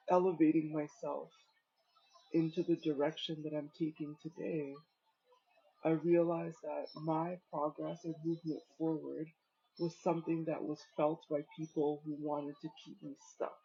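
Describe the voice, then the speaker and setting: A man in his thirties, an author sharing his life story in a casual spoken reflection, talking hesitantly with many pauses and fillers. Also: a little high, warm tone, slightly rough. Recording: dull lo-fi recording.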